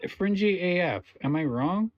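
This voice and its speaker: A young man from Alberta, hosting a livestream and teasing his guest with profane, excitable banter. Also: measured pace, dry recording. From Alberta, Canada. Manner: teasing, profane, jumps into high exclamations. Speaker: streamer host. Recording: dry, dull lo-fi stream audio.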